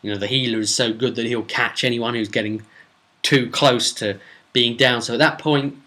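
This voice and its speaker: A man speaking as a game master, casually retelling a role-play session with emphatic, drawn-out words for suspense. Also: mid-range voice, steady tone, sharp tone. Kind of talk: casual spoken storytelling recap.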